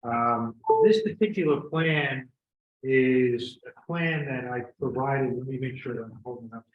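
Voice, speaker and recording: A man's voice: mid-range voice, measured pace, narrow, tinny audio. A man giving an informal, explanatory presentation of a plan at a board meeting. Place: meeting room. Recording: echoey room with background noise.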